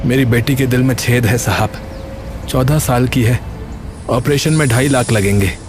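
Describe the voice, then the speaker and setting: A man voicing an exhausted, worried bus conductor and father in an animated story, pleading in colloquial working-class talk. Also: medium pitch, moderate pace, background noise, natural voice. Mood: resigned, pained. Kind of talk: animated story character dialogue. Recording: loud music behind the voice.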